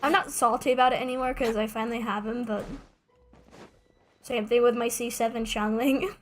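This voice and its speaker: A woman's voice: on the high side, steady tone, normal speed, slight background noise. Rambling, joking young woman streamer. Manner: rambling, self-mocking, joking asides. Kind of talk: casual gameplay streaming chat.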